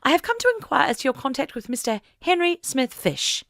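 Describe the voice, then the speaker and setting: A woman's voice: sits high, sing-song, a little fast, creaky, a bit bright, dry recording, studio quality, voice pushed up, exaggerated voice. Lady reader as a steely young woman detective, stern n probing but polite, voice jumps up and down, audiobook. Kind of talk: audiobook character acting.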